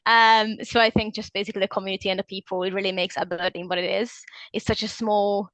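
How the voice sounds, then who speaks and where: A young woman answers questions in a relaxed, hesitant way. She fills pauses with ums and likes and often corrects herself mid-sentence. Her voice sits at an ordinary middle pitch, recorded close and dry with no room echo. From Hungary. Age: 20s. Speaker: alumna interviewee. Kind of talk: casual interview answers.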